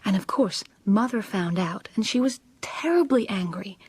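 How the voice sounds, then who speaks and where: A timid young woman in her twenties in a radio drama. Her voice is soft-edged and a little breathy, with a hesitant, submissive way of talking. The sound is dry. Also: medium pitch, lively intonation, normal speed, no room sound. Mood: anxious, confiding. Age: 20s.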